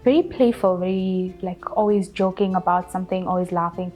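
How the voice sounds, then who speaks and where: A woman's voice: fairly low pitch, steady tone, a little fast, darkish tone. A woman in her twenties speaks with a South African accent. She gives a casual personal account in an interview, with faint music playing behind her voice. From Durban, South Africa. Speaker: interviewee telling her relationship story.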